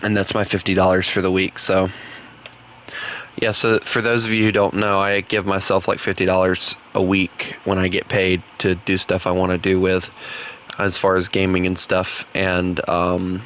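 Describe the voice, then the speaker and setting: Young man, southern accent, rambly gaming chat, bright voice. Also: low voice, monotone, moderate pace, a little hiss or hum. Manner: rambling, chatty, laughs often. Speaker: gaming streamer.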